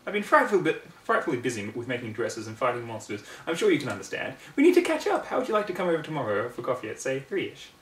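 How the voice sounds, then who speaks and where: A man's voice: mid-range voice, wildly swooping pitch, fast, few pauses. A man voicing a refined, fussy female unicorn with genteel, dramatic manners in a fan story reading. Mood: gracious. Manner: genteel, dramatic, formal wording. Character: refined, fussy lady unicorn, polite but quick to snap. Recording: roomy, distant mic, faint noise.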